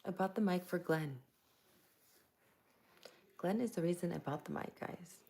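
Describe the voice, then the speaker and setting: Woman from Tampa hosting a live stream: soft-spoken, low and heavily gravelly, chatting easily with viewers. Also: unhurried. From Tampa, Florida. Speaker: livestream host. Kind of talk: casual live chat answering viewers. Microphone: plugged-in microphone.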